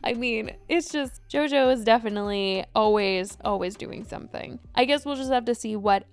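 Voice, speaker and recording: Young female gossip host, slightly high, chatty scripted read. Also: normal speed, smooth. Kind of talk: scripted celebrity gossip recap.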